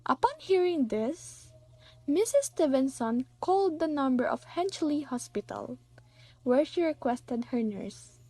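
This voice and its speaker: A woman's voice: sits high, expressive, measured pace, warm tone. A young woman reading scripted narration for a student radio drama.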